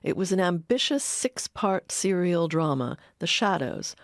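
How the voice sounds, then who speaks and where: A woman's voice: lowish voice, lively intonation, measured pace, no echo, studio quality. A woman reading scripted documentary narration as its narrator. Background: music.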